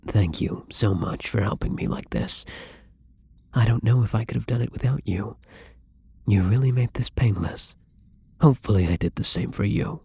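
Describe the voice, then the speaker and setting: Casting: male actor as tender young-in-undeath vampire for ASMR roleplay; very breathy, slow, hesitant, slipping into whispers. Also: fairly low pitch, heavily acted. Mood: caring, apologetic. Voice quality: breathy, husky. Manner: soft, halting, often dropping into whispers. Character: newly turned gentle vampire, tender and hesitant. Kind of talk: intimate ASMR roleplay acting.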